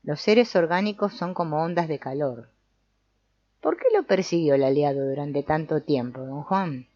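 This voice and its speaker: Dull, dry recording of an even-pitched woman narrating. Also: normal speed, no room sound. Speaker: audiobook narrator. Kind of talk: scripted narration, reading a book aloud.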